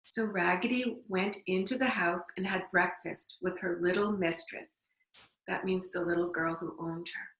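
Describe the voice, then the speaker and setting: A woman reading a storybook aloud to young children, deliberately, with simple explanatory asides. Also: lowish voice, fairly even intonation, fairly bright tone. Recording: echoey room.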